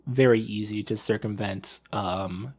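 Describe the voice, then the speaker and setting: A man's voice: lowish voice, measured pace, darkish tone, slightly rough. A thirty-year-old man talking casually on a panel discussion, rambling as he repeats and rephrases himself. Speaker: podcast panelist.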